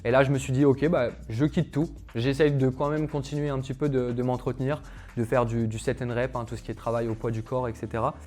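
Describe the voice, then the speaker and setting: A young man talks straight to his viewers in a loose, chatty monologue. He fills it with hesitations and asides. His voice sits at an ordinary middle pitch, and quiet music plays underneath. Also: level tone, quick pace. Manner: chatty, filler-heavy, addresses viewers directly. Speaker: calisthenics coach. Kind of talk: casual personal story monologue to camera.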